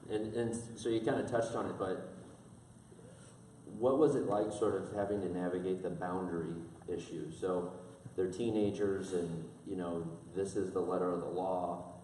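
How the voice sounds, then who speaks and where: Man, thirties, interviewer, measured, distant, long reverb. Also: sits low, fairly even intonation, mellow, a little hiss or hum. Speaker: interviewer, podcast host. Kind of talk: interview questions. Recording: very echoey room from a distance.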